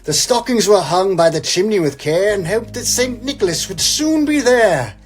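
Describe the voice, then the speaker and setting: A man's voice: sits high, expressive, moderate pace. A man reciting a poem theatrically and breaking into casual, irreverent joking asides. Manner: wry, irreverent, theatrical when reading verse. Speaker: guest reader, comedic poem reciter. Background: instrumental Christmas music.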